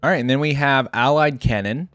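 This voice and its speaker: A woman's voice: rumbling low, brisk, a bit bright, dry recording, faint music behind. A woman giving easygoing, chatty, amused commentary as host of a video game reaction. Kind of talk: casual commentary on game voice lines.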